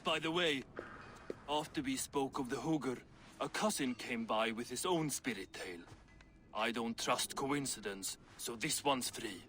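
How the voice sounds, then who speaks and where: An actor playing a shrewd, gruff, middle-aged dwarf craftsman, blunt and boastful, in a video game. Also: medium pitch, a little slow, fairly bright tone, faint music behind, natural voice. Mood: wry. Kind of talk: video game cinematic acting.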